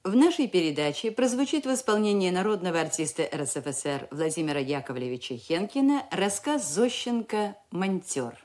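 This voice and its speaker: A woman radio announcer reading a formal scripted introduction with expressive delivery. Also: fairly low pitch, a little fast, few pauses.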